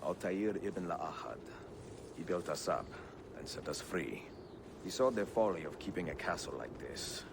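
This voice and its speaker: A man playing a weary, reflective aging master assassin with an Italian accent in a video game, speaking in measured, solemn phrases. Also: sing-song, noisy background, exaggerated voice. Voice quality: deep, gravelly. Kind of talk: dramatic video game acting.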